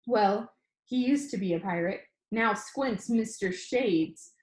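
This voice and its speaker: A withdrawn, grieving schoolgirl from a storybook, played by a woman, speaks in a subdued, detached way. Her pitch is middling and the room echoes. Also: slow, natural voice. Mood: flat, detached. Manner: subdued, flat, too calm. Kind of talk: storybook character reading. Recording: roomy, echoing room sound.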